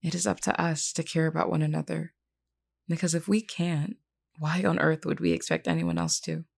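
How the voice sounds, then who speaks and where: Woman acting a low, creaky-voiced vampire queen. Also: fairly even intonation, a little mellow, full character voice. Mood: earnest, solemn. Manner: measured, formal, earnest, reassuring. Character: composed, kindly vampire queen, solemn but caring leader. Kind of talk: scripted character roleplay audio.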